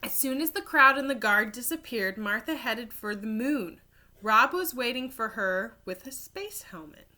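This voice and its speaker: Woman teacher reading a kids book aloud, slow and deliberate, bright higher voice. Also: expressive. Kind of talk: expressive children's storybook read-aloud.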